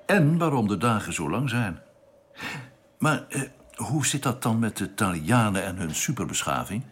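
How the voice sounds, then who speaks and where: A man playing a determined stranded astronaut in a science fiction radio drama, with a husky voice. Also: on the low side, big pitch swings, moderate pace. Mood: curious. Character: determined male astronaut, stranded and eager to get home.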